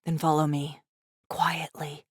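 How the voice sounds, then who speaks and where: A bold woman survivor in an audiobook drama. Her voice sits fairly low, and she speaks bluntly and assertively, like someone used to deciding for the group. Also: level tone, slow pace, slightly rough, no room sound, clean studio sound, heavily acted. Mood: hushed. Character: tall, bold, decisive young woman survivor.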